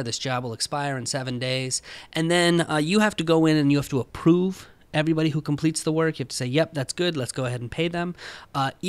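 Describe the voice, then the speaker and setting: A man's voice: medium pitch, no echo. A man presenting a casual screen-share tutorial, talking informally through each step.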